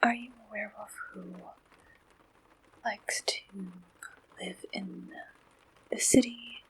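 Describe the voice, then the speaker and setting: A woman performing an ASMR roleplay, gently and deliberately asking questions like an interviewer. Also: medium pitch, steady tone, slight background noise, poor audio.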